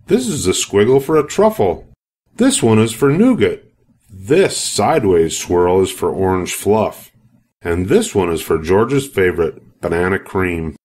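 A man reads as a storybook factory tour guide. The voice is fairly low and explains things patiently, one item at a time. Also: very animated, unhurried, a little mellow, heavily acted. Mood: explaining.

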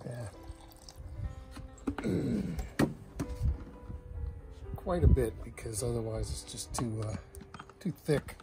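An older man hosting a home cooking show, explaining each step in a relaxed way with frequent pauses, in a kitchen and then out at a backyard grill. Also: mid-range voice, vocal fry. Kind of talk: casual cooking demonstration. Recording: music playing underneath.

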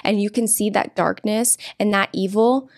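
A woman's voice: mid-range voice, fairly even intonation, moderate pace. A young woman guest on a studio podcast, speaking earnestly and emphatically about her faith, close to a desk microphone. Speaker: pro-life activist guest. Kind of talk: podcast interview. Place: studio with green curtains. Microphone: desk podcast mic on boom arm.

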